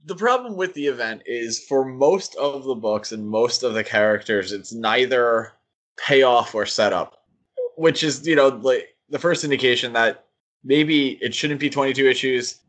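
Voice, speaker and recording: Man, average pitch, casual critical podcast talk, halting delivery. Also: fairly bright tone. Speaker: podcast co-host. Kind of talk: casual critical comics discussion.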